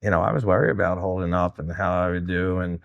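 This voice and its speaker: A man's voice: low-pitched, fairly even intonation, quick pace, gravelly, no echo, muffled recording. A man in his fifties from New Jersey, a wrestling coach talking candidly and casually in an interview. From New Jersey, United States. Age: 50s. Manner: candid, old-school. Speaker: high school wrestling coach.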